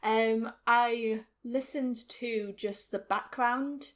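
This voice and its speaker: Chatty Welsh woman, higher voice. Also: fairly even intonation, extremely slow. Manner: chatty, laughs often, many "like" fillers. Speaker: podcast co-host. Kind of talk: casual chat.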